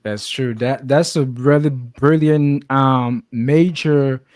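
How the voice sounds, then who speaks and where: A man's voice: medium pitch, steady tone, takes it slowly, darkish tone, no echo, studio quality. A man from Africa hosting a casual interview, affirming and repeating words for emphasis. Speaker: interview host.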